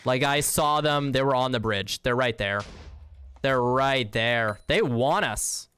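A man's voice: mid-range voice, slow pace, bright, forceful tone, clean tone. A young man casually chatting with viewers while playing a game, slangy and easygoing, with the odd swear. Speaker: streamer. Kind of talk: casual game livestream, reading chat.

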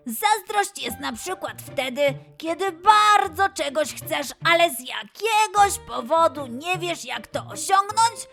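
A woman voicing a kind, earnest, excitable young lion boy in a children's audio fairy tale. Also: very high voice, takes it slowly, vocal fry, few pauses, soft background music, voice pushed up, heavily acted. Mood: gentle, explaining. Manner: explaining patiently, exclaiming eagerly.

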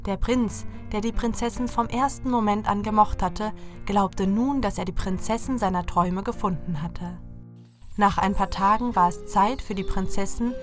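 A woman tells a scripted fairy tale to children as its narrator. Her voice sits at an average pitch. Soft music runs behind the reading.

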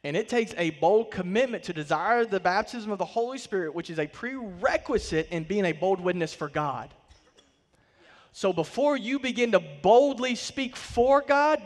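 A man in his thirties preaching a sermon from a church stage, swelling on key words and dropping to quiet line endings. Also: high-pitched, expressive, moderate pace, big-room sound. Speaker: pastor. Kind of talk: sermon with scripture reading. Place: large church stage, indoors.

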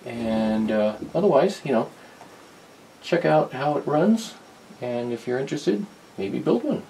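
A man giving a casual, rambling, unhurried demonstration of a hobby project, talking as a maker. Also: medium pitch, lively intonation, creaky, warm tone, a little hiss or hum, low-quality recording. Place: small cluttered indoor workshop.

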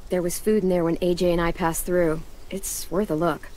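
A woman's voice: on the low side, little pitch movement, moderate pace, warm tone, a little hiss or hum, natural voice, rough recording. An actress playing a composed, resolute teenage girl survivor in a video game drama.

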